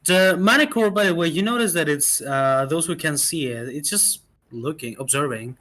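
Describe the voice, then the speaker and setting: A man running a tabletop role-playing game as game master, making quick rules calls and describing the scene. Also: fairly high pitch, pushed and bright.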